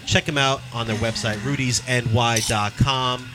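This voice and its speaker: A man's voice: mid-range voice, fairly even intonation, measured pace, background noise. A man co-hosting a podcast from a restaurant, prodding guests with joking questions. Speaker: co-host.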